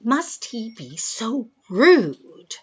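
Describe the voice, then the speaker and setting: A woman's voice: a little high, big pitch swings, very slow, breathy edge, muffled recording, heavily acted. A woman playing a befuddled, chirpy and scatterbrained editor in a comic sci-fi audio drama. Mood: bewildered. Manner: scatterbrained, hesitant. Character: befuddled, chirpy editor who forgot who she is.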